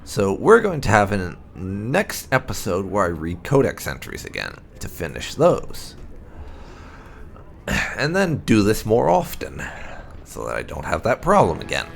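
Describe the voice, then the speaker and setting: A man hosting a gaming channel, reading fantasy game lore aloud in a measured storytelling way. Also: fairly low pitch, huge pitch swings, gravelly. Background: background music.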